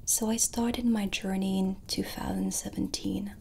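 A woman speaks in a breathy ASMR whisper, close to the microphone. Her delivery is gentle and very unhurried, with long pauses between short phrases. A soft ambient noise sits behind her voice. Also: mid-range voice, flat delivery. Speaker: ASMR host playing a witch shopkeeper. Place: small dark candlelit indoor set.

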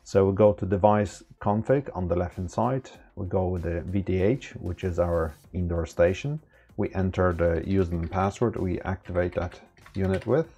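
A man in his thirties giving a relaxed step-by-step tech tutorial with lots of fillers, recorded at a desk indoors. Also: sits low, normal speed, slightly rough. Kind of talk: casual screen-recorded tutorial. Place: small room indoors.

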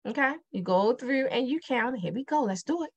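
A woman's voice: fairly high pitch, lively intonation, fairly quick, lo-fi sound. A middle-aged woman with a Baltimore accent teaching multiplication over a digital whiteboard, chatty and repeating her questions to the viewer. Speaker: math teacher. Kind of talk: casual whiteboard math lesson.

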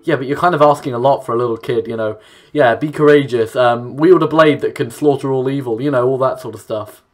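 A man's voice: medium pitch, a little fast. A young man giving casual let's play commentary, reading game text aloud and adding offhand asides. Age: young adult. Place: small room at home, indoors. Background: game music with plucked guitar.

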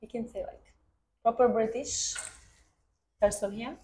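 A woman's voice: on the high side, measured pace, darkish tone, reverberant, slight background noise. A woman from Slovakia with a Slovak accent, chatting casually and playfully as a podcast guest, often teasing. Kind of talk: casual chat.

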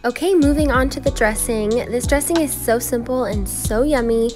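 A woman's voice: fairly high pitch, normal speed. Chatty vlogger woman over background music. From Oregon, USA. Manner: chatty, upbeat, talks to the viewers directly. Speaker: lifestyle vlogger. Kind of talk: casual vlog narration. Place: parked car, then a home kitchen. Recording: music playing under the voice.

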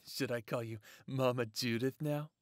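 A man's voice: medium pitch, fairly even intonation, measured pace, dry recording, close to an everyday voice. Man playing an earnest werewolf, dry close sound. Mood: light, relieved. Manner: sincere, earnest. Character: earnest lone male werewolf seeking family. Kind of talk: audio drama acting.

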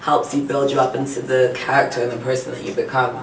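A woman's voice: rumbling low, flat delivery, fast talker. A young woman from Tanzania talking casually as a singer-songwriter guest in an interview. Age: young adult. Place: small radio studio. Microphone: large studio microphone on a boom arm. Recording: echoey room, distant sound, background noise.

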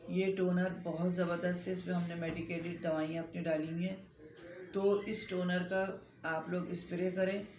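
A middle-aged woman doctor talks straight to a close phone camera, her voice hoarse from a sore throat and gravelly with fry. She explains remedies in a chatty, instructive way and holds up the products she means. The small clinic room lined with shelves sounds echoey, with a faint hiss underneath. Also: on the low side, darkish tone. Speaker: doctor giving skin-care advice. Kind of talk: direct-to-camera health advice talk.

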